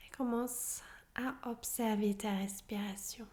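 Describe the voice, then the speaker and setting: A woman leading a guided relaxation as a yoga nidra teacher, soothing and unhurried, often in a breathy half-whisper. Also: a little high, steady tone, a little mellow. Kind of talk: guided meditation, soft-spoken relaxation instruction.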